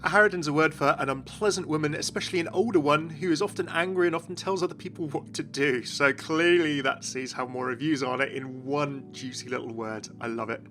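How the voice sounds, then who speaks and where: A man's voice: a little high, brisk, few pauses, a bit bright. A man presenting game lore as an enthusiastic scripted video narration. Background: music.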